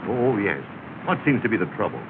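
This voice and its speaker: Genial middle-aged American family man, courteous early-talkie delivery, animated intonation, thin noisy soundtrack. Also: on the low side, brisk. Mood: kindly, encouraging. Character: genial, reassuring well-to-do family man and adviser. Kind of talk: early 1930s film acting. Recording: noisy, tinny 1930 film soundtrack.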